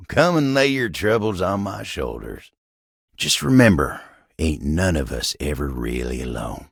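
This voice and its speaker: A man voicing a kindly, earnest living table in a video game, with a folksy American cowboy drawl and a gravelly, friendly delivery. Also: no room sound, clean studio sound, exaggerated voice. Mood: reassuring, sympathetic. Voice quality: low, gravelly. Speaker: voice actor. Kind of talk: video game character dialogue.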